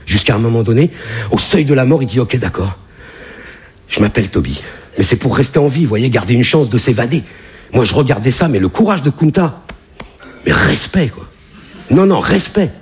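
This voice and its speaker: A man doing a stand-up comedy set on a club stage, animated, with big jumps in voice for punchlines. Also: normal speed, pauses often, breathy edge. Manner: animated, jumps high for punchlines and acted-out quotes. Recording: live club audience laughter. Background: audience laughter.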